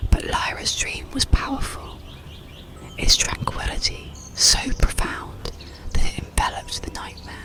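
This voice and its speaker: A storyteller narrating a bedtime tale in a soothing, deliberate, breathy whisper, in ASMR style. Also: very deep voice. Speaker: audiobook storyteller.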